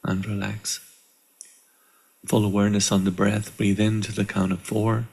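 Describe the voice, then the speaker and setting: A man leading a guided meditation in a calm, measured way, with deliberate pauses between short phrases. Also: lowish voice, vocal fry. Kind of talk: guided meditation, slow and instructional. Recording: live online session.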